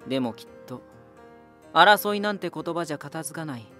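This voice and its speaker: An actor playing a proud, ominous lighthouse spirit hardened by war, deliberate, cryptic and condescending, in a dramatic voice drama. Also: male voice, on the high side, animated, bright, forceful tone, faint music behind. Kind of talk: dramatic Japanese voice drama acting.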